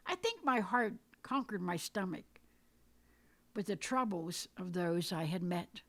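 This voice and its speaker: A woman reading her own scripted poetic story aloud in a measured, deliberate storytelling manner. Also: on the low side, animated. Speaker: poet reading own work. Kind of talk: dramatic poetry reading, scripted narration.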